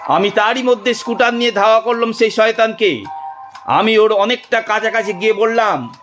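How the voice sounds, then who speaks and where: A man narrating a dramatic first-person audio story in a deliberate, suspenseful storytelling manner. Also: high voice, a bit bright. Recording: muffled studio narration over music.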